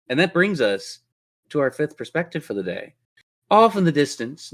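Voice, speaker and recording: A man's voice: mid-range voice, fairly bright tone. A man running a tabletop role-playing game as game master, telling the story in a descriptive, theatrical way.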